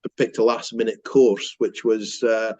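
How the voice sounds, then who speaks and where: A man's voice: on the low side, little pitch movement, unhurried, darkish tone, no room sound. Scottish man, muffled dry sound. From Scotland. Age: 50s. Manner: hesitant, many fillers and restarts. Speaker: mortgage broker, company director. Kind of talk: business interview, casual storytelling.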